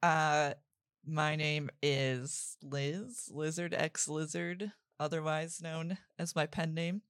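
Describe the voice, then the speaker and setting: A woman's voice: low-pitched, fairly even intonation, takes it slowly, clear, resonant tone, no room sound, studio quality. A woman guest on a podcast, giggly, playing along with improvised dating show banter.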